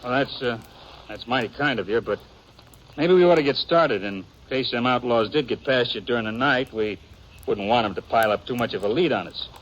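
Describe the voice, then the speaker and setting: Guy doing a young eager cowboy, folksy western american drawl, kinda hesitant smooth talker, muffled noisy 50s radio show. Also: mid-range voice, fairly quick, fairly bright tone, lightly acted. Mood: insistent. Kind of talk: 1950s radio western acting.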